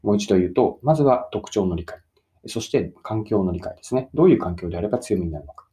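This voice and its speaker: 抑揚豊かで、とても落ち着いた暗めの声の男性. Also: male voice, fairly low pitch, expressive, fast, warm tone. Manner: methodical, stresses key words and recaps points. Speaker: presenter giving career self-development advice. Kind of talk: explanatory solo talk, addressing listeners directly.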